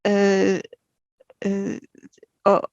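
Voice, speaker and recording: A woman's voice: mid-range voice, completely flat delivery, drawn-out words, a little mellow, dry recording, dull audio. A woman from Portugal with a European Portuguese accent, a podcast guest talking casually and hesitantly, with many fillers and restarts. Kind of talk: casual interview.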